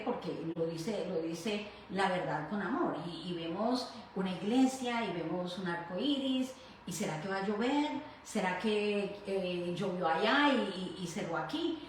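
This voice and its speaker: A Colombian woman hosts a casual faith talk in an effusive, rambling style. Her mid-pitched voice sits back from the microphone in a very reverberant room, with faint noise. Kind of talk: casual conversational hosting. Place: echoey room in her home. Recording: very echoey room, distant mic, faint noise.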